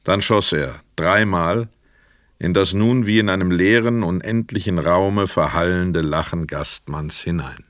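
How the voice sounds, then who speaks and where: Crime drama narrator: man, very low voice, close dry sound, measured and sober literary reading. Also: a bit bright, dry recording. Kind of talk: literary scripted narration of a crime radio play.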